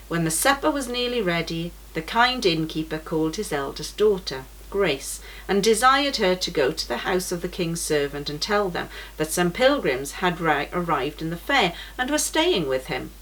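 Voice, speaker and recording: A woman reading a children's story aloud for a church program, in a gentle, measured storytelling manner. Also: fairly low pitch, poor audio.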